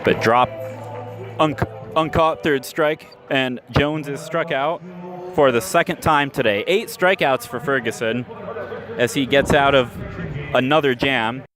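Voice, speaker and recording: A man calling baseball play-by-play in radio style, measured between pitches and lifting sharply on big plays. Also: loud music behind the voice. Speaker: play-by-play announcer. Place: ballpark stands, outdoors. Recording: live ballpark recording with outdoor noise.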